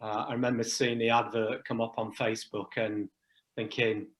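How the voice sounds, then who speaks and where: A middle-aged man on a video call, talking in a loose, self-deprecating manner. His pitch barely rises or falls and sits at an ordinary middle height. It sounds like relaxed conversation rather than a prepared speech. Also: normal speed. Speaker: charity chair and guest. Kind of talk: casual video chat.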